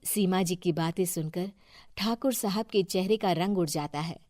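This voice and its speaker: A woman's voice: on the low side, moderate pace, a little mellow, no echo, studio quality. A woman reading scripted moral-story narration as the narrator.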